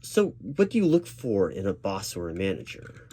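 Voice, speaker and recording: A man doing a spoken ASMR job interview roleplay as a reassuring, gently professional interviewer who speaks a little hesitantly. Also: sits low, wildly swooping pitch, normal speed. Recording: clear background noise of keyboard typing. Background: computer keyboard typing.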